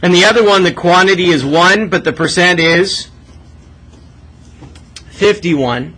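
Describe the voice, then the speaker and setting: A man with a rather high, bright voice works as a math teacher, tutoring step by step. He keeps it conversational, putting short checking questions to the learner and often tagging on a "right?". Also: unhurried, low-quality recording.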